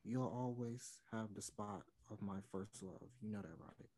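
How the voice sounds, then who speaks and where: A man's voice: fairly low pitch, fairly even intonation, a little slow, a little mellow. A man in his twenties playing a remorseful, earnest man who longs for his first love, in a play read over a video call. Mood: tender, earnest. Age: 20s. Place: room at home. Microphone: computer mic on a video call.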